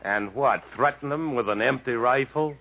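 An actor playing a bitter, sardonic, fatalistic jungle wanderer in 1950s American radio drama style, dramatic and mocking. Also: male voice, mid-range voice, normal speed, natural voice. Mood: tense, grim. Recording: muffled, noisy 1950s radio recording.

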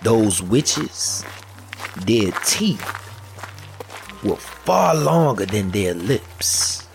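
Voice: medium pitch, big pitch swings, drawn-out words, vocal fry, poor audio. A storyteller retelling a folk tale in a folksy, dramatic way, with music behind. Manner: folksy, dramatic, repetitive chanting delivery.

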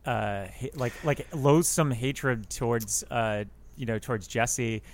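A man casually discussing a film in a hesitant, tentative way with frequent pauses. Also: mid-range voice. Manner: hesitant, tentative, pausing often. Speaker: podcast panelist.

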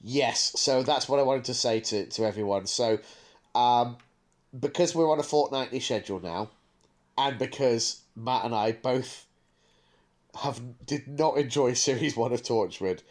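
Male podcast co-host, mid-pitched, conversational and discursive, thinks out loud. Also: measured pace. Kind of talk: casual podcast chat.

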